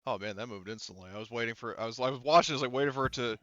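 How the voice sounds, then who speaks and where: A man running a tabletop game, narrating off the cuff in a casual, halting, rambling way. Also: medium pitch, a bit bright, no echo, lo-fi sound. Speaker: game master.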